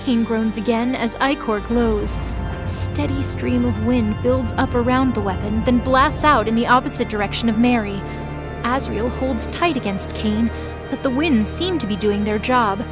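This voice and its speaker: Music runs clearly behind a woman narrator with a fairly high voice. She delivers scripted, dramatic narration for an audio drama. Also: normal speed.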